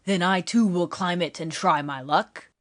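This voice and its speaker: A woman playing a bold young prince in a fairy-tale audiobook, speaking with measured confidence. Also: on the low side, no echo, clean studio sound, lightly acted. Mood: resolved.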